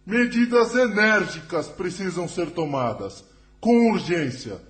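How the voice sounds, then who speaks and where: A man's voice: high voice, expressive, unhurried, fairly bright tone. A man playing a grave alien scientist who addresses a council with solemn, formal oratory in an audio drama. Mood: urgent, warning. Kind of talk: spiritist audio drama acting.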